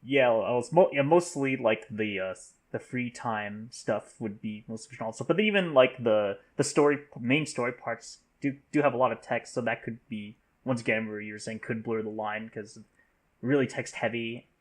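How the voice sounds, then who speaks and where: A man with a medium-pitched voice chats casually on a podcast panel. He stumbles through his thoughts, repeating phrases and leaning often on the word like. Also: moderate pace.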